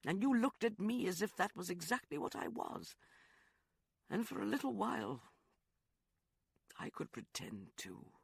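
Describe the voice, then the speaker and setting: A man voicing a remorseful young gentleman in an audiobook, soft, hesitant and apologetic. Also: high voice, huge pitch swings, unhurried, vocal fry, pauses often, breathy edge, dry recording, clean studio sound, pitched above the performer's own voice, full character voice. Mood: sad. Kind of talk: audiobook character voice.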